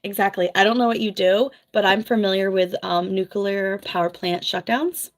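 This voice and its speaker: A woman's voice: medium pitch, steady tone. A woman from New Jersey with a Philadelphia accent, chatting frankly with viewers as a former nurse. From US East Coast, New Jersey. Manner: chatty, frank, quick asides with laughs. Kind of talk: casual livestream chat reading viewer comments.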